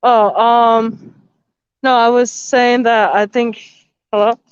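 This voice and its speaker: A woman's voice: a little high, little pitch movement, slow, clear, resonant tone, no echo, dull audio. A young woman chatting casually on an online call in an exasperated, slangy way, venting as she goes. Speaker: stream guest. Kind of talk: casual chat.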